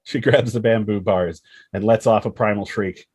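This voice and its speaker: A man with a husky voice reading dramatic screenplay stage directions as narrator. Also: lowish voice, steady tone, moderate pace, a little mellow.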